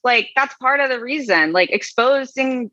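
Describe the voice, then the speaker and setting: A woman's voice: sits high, lively intonation, moderate pace, bright, forceful tone, dry recording, lo-fi sound. A woman co-hosting a casual podcast, reading online comments aloud with dry, wry asides. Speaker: podcast co-host.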